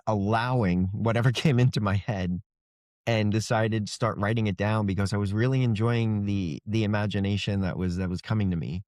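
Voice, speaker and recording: Male author, low pitch, dry close sound, easygoing conversational interview delivery. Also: a little mellow, no echo. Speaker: fantasy author being interviewed.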